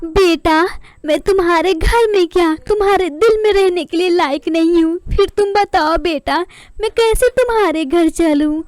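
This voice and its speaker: A woman's voice: extremely high pitch, a little slow, a little mellow, no echo. A woman voicing an aggrieved, remorseful mother bird in a melodramatic cartoon, pleading and lamenting.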